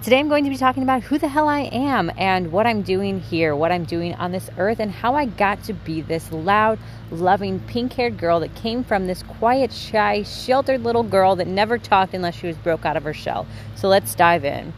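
A woman in her twenties hosting her own podcast, talking in a candid, chatty way in a soft, quiet voice. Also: medium pitch, normal speed, hardly any pauses, a little hiss or hum. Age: 20s. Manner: chatty and candid, with light swearing. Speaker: podcast host. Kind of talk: casual solo podcast monologue.

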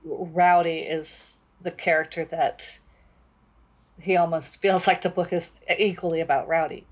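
A woman co-hosting a book discussion, talking casually in a measured, deliberate way. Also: low voice, level tone.

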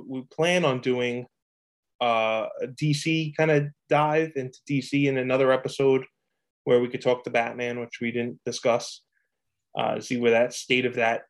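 A man co-hosting a podcast in a loose, rambling casual chat, often breaking into laughter. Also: medium pitch. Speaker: podcast co-host.

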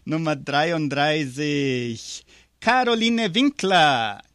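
A man's voice: fairly high pitch, expressive, very slow, a bit bright, no room sound. A man hosting a live interview and prize draw, chatty and upbeat with frequent hesitations. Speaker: radio host.

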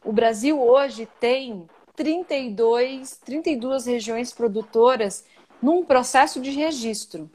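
An outspoken Brazilian woman, a coffee journalist, tells stories with animated energy. Her voice sits slightly high, and she comes through a live video call. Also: slow. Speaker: guest, coffee journalist and podcaster. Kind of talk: casual interview chat.

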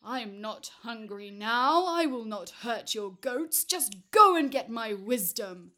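A woman voicing a huge, slow-witted male giant in a storybook tale, growling and booming, loud and demanding. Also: expressive, full character voice. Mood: gruff, impatient.

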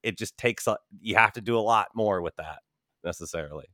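A man's voice: lowish voice, fairly quick, pushed and bright, no room sound, clean studio sound. A man chatting casually as a podcast host in informal, slangy language. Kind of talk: casual chat.

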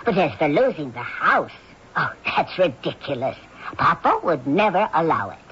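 An actress playing a gentle, fussy older sister who fusses over others, in a dull old radio broadcast. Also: female voice, low voice, sing-song, normal speed, breathy edge, full character voice. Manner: gentle, fussy, a little dotty. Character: meek, fussing elderly sister devoted to Papa. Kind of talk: 1970s radio drama acting.

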